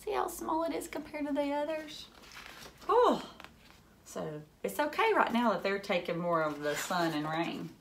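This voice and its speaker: A woman's voice: a little high, moderate pace, gravelly, a little hiss or hum. A woman in her thirties reading a picture book aloud to young children, expressively and with dramatic emphasis and little asides. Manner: animated, dramatic gasps and hushed moments, simple questions to children. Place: furnished room at home, indoors.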